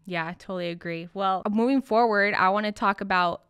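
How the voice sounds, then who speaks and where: Clear-voiced woman interviewing. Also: medium pitch, fairly quick, a bit bright, no echo, clean studio sound. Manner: agreeable, affirming. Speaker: podcast interviewer. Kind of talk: casual interview.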